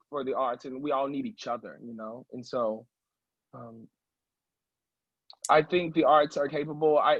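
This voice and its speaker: A man's voice: on the high side, a bit bright, slightly rough, no echo, dull audio. A man who is an opera singer talking casually as an interview guest, emphatic and chatty, often checking in with the listener. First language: English.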